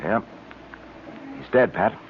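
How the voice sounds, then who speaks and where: An actor playing a brisk, shrewd, commanding police detective in an old radio drama, decisive and clipped. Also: male voice, fairly low pitch, expressive. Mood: grim, deductive. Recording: old narrow-band radio recording with hiss.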